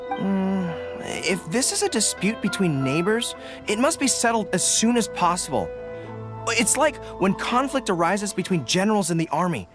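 A man's voice: high-pitched, big pitch swings, over music. A young man voicing an earnest, formal young dog-eared general in a video game. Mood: firm, considered. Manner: earnest, deliberate, formal phrasing. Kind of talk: video game character dialogue.

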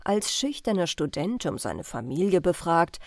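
A woman reading an old-fashioned family tale as an audiobook narrator, in carefully phrased, expressive storytelling. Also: fairly low pitch, moderate pace, vocal fry, no room sound, clean studio sound. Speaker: audiobook reader.

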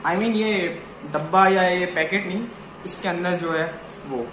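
A man's voice: high voice, a little slow. A young man chatting casually to camera in an informal, slangy way, often repeating himself. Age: young adult. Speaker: vlogger. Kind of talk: casual chat to camera, Hindi mixed with English. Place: small living room, later outdoors on open ground. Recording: roomy echo, clear background noise.

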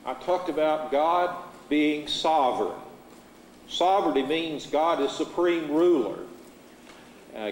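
Middle-aged American male preacher, measured earnest sermon, lapel mic, long church reverb, faint hum. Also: on the high side. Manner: measured, earnest preaching with steady Scripture reading. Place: church sanctuary, at a pulpit before a curtain. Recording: echoey church hall, faint room noise.

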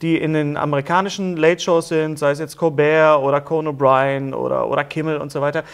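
A middle-aged man hosting a casual talk interview, haltingly repeating words as he builds his questions. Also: on the high side, level tone, gravelly, clear, resonant tone. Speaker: talk show host, interviewer.

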